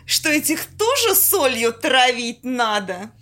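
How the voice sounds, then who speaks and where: Brash, cheeky female friend for a radio comedy, played by an amateur actress: high voice, very animated, unhurried bantering delivery. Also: heavily acted. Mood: cheeky, argumentative. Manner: bantering, colloquial, theatrical. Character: brash, cheeky woman fond of a drink. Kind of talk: amateur audio play acting.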